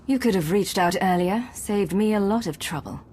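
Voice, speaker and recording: An actress playing a confident, dry-witted agent commander in a video game, with a cool, wry delivery. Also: female voice, medium pitch, lively intonation, normal speed, a little mellow, slightly rough. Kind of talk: naturalistic video game acting.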